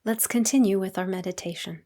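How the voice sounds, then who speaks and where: A woman leading a guided meditation as a teacher, speaking in a calm, unhurried, soothing way with long pauses. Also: medium pitch.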